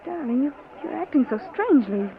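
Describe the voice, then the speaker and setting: An actress playing a gentle, withdrawn and concerned wife in a 1940s radio drama, earnest and apologetic. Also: female voice, high voice, sing-song, brisk. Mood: puzzled, worried. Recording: tinny, noisy old 1940s radio recording.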